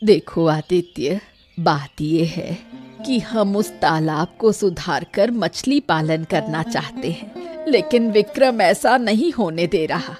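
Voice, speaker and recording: Slow, lowish old village aunt played by a woman. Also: expressive, darkish tone, soft background music. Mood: worried. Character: caring old village aunt, practical and steady. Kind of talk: Hindi animated moral story dialogue.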